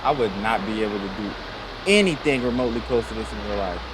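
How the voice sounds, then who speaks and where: A man's voice: fairly low pitch, fast, heavy background noise. A man doing casual reaction commentary as a video host, slangy and exclamatory.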